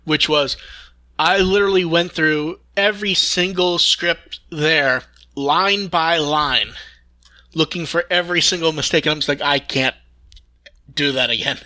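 A man's voice: a little high, measured pace, bright, forceful tone. A man hosting a casual podcast news discussion, often restarting his phrases, on a close dry mic. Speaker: podcast host.

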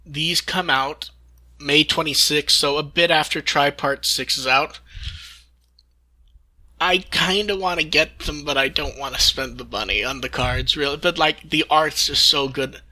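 Enthusiastic podcast guy, kinda high voice, super bright and punchy, rambles through fan news. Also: unhurried, rough recording. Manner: enthusiastic, rambling, occasionally swearing. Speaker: podcast host. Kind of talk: casual fan news discussion.

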